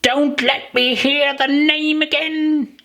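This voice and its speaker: A woman voicing a small, touchy male mouse in a children's audiobook, shrill, passionate and offended. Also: level tone, slow pace, gravelly, fairly bright tone, heavily acted. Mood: indignant, trembling.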